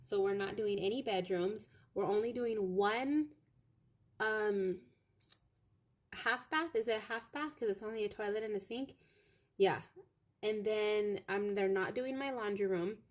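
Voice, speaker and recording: A young woman with a naturally loud voice talks casually to her viewers from a home office. She meanders between thoughts with plenty of fillers. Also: mid-range voice, unhurried. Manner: chatty, meandering, lots of fillers. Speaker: craft vlogger. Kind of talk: rambling casual chat to viewers.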